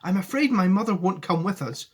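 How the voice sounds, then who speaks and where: A man's voice: high voice, animated, brisk, a little mellow, husky, voice pushed up. A man reading a storybook aloud, voicing an earnest, eager little boy. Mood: worried.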